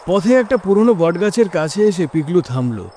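A man's voice: high voice, big pitch swings, moderate pace, mellow, slight background noise. A man narrating a scripted first-person horror story in a dramatic, suspenseful manner.

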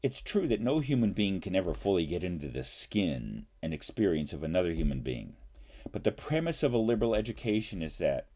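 A man in his sixties, a professor giving an explanatory talk in formal, deliberate, measured phrasing. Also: sits low, sing-song, warm tone. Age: 60s. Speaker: college professor. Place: small home study, indoors.

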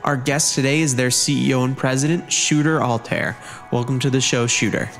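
A man playing a smooth-talking, slick host of a futuristic podcast show in a comedy parody. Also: medium pitch, brisk, a bit bright, soft background music. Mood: upbeat. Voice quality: smooth, slick announcer delivery.

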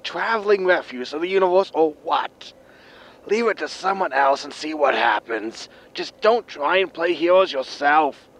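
An actor playing a bitter, ailing future spaceship captain, with a wheezy smoker's voice and a scornful, lecturing manner, in a science fiction comedy audio drama. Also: male voice, on the high side, animated, moderate pace, a bit bright, rough recording. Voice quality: wheezy smoker's lungs, coughing.

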